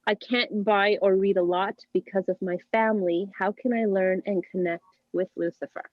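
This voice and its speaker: A woman co-hosting a talk show, reading viewer questions aloud in a plain, measured way. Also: mid-range voice, fairly even intonation, dry recording. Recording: dull, dry lo-fi sound.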